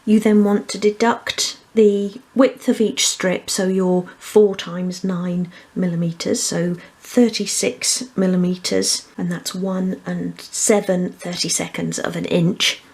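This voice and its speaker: A woman's voice: on the low side, fairly even intonation, slow pace, gravelly, hardly any pauses, a little mellow, breathy edge. A woman presenting a hands-on craft tutorial, explaining each step methodically. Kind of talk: hands-on step-by-step instructional demonstration.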